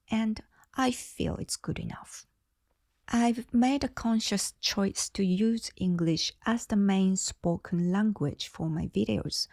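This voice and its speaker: Japanese-accented woman, middle-aged, slow careful teacher talk, gravelly edge, dry close mic. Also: mid-range voice, a little mellow, no room sound. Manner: slow, deliberate, carefully clear delivery. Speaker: English teacher and channel host. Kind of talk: slow scripted English lesson talk.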